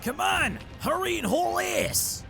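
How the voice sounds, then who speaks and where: An actor playing a brash goblin agent, calling out urgently and coarsely in an audio drama. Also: male voice, extremely high pitch, expressive, slow, gravelly, sharp tone, faint music behind, heavily acted.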